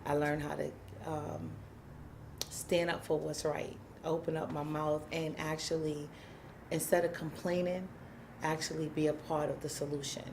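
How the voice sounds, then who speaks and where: A woman's voice: low voice, flat delivery, unhurried, vocal fry, background noise. A woman, a community housing activist, telling her story candidly in an interview, emphatic and plain-spoken, acting out what people said.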